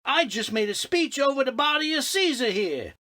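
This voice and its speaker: A woman's voice: sits high, very animated, moderate pace, sharp tone, heavily acted. A woman playing a brash, indignant Roman senator and orator, declamatory and protesting, in old-time radio comedy style.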